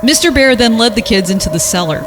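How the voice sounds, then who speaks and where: A man with a high voice reads a creepy story aloud for a podcast. He slips between narration and joking asides while music plays behind him. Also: lively intonation, fast talker. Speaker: podcast host and reader. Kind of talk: reading a horror story aloud amid joking banter.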